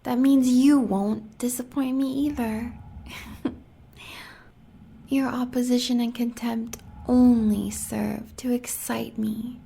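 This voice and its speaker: A woman's voice: fairly high pitch, flat delivery, slow pace, vocal fry, dark tone, breathy edge, heavily acted. An American woman playing a sadistic, seductive huntress in an audio roleplay, taunting the listener in sultry half-whispers with cruel pet names. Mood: sadistic delight. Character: sadistic seductive werewolf huntress, villain's lover.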